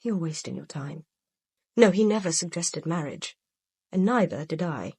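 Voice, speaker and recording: An actress playing a proud, wounded mistress in a radio drama, guarded and bitter yet controlled. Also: female voice, on the low side, lively intonation, moderate pace, darkish tone, husky, dry recording, studio quality.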